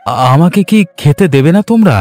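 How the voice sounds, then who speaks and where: A man's voice: a little high, sing-song, brisk, darkish tone, faint music behind. A man playing a kindly, earnest young son of a village headman in a children's cartoon. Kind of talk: Bengali children's cartoon voice acting.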